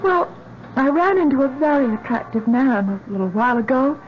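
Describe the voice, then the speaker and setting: Casting: wistful, hesitant heroine, lightly high voice, 1970s radio play with faint hiss. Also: female voice, animated, fairly quick. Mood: troubled. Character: troubled, evasive, soft-hearted woman with a secret. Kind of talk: 1970s radio drama acting. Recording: muffled, hissy old radio broadcast.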